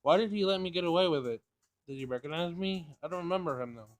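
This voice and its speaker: A man's voice: on the high side, expressive, fast talker. A man casually reading a visual novel aloud, narration and dialogue, sometimes stumbling over the words.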